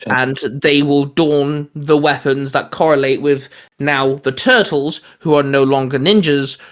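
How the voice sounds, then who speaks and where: A man chatting casually as a host pitching geeky ideas, rambling and restarting his sentences as he goes. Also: steady tone, measured pace, fairly bright tone. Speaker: host of a comics discussion show.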